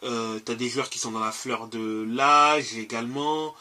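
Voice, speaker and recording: A man's voice: medium pitch, steady tone, a little slow, pushed and bright. A man hosting a casual football podcast, talking in a hesitant way with many fillers and restarts. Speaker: podcast host.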